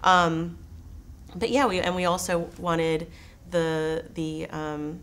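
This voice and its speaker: Lower-pitched American woman in an interview. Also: slight background noise. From Texas, United States. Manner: enthusiastic. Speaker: interviewed documentary filmmaker. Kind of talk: conversational festival interview.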